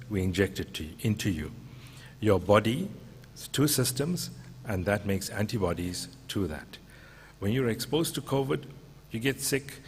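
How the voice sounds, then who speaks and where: Deep-voiced man explaining vaccines simply. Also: long reverb tail, slight background noise. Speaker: doctor.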